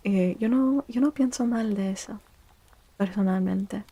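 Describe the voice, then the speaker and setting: A woman livestream host speaking softly in an ASMR style, hushed and gentle, often slipping into a near-whisper close to the microphone. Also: medium pitch, unhurried. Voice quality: soft, breathy. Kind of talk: soft-spoken ASMR livestream chat.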